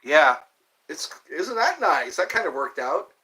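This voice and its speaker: A man's voice: fairly high pitch, sing-song, unhurried, bright, forceful tone, poor audio. A man in his fifties hosting a livestream, chatting casually with viewers in a rambling, joking, self-deprecating way. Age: 50s. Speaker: livestream host. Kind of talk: casual chat answering viewers.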